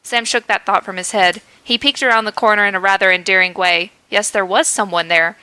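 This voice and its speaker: Woman podcast host, playful comic reading, bright voice, dry close sound. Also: mid-range voice, fairly even intonation, fairly quick, smooth, no echo, poor audio. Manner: playful, laughs at the text. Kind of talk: reading a comic story aloud.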